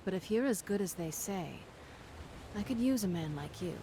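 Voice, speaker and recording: A woman's voice: medium pitch, lively intonation, moderate pace, lightly acted. An actress playing a confident, teasing female pirate captain in a video game. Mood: sly, cool. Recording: noisy ambient game soundscape behind.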